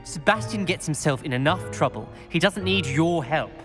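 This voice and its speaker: A male actor plays a stern, protective teenage schoolboy in a fantasy game. His slightly high voice rings in a long reverb with music behind it. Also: expressive, moderate pace, close to an everyday voice. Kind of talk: scripted game cutscene acting.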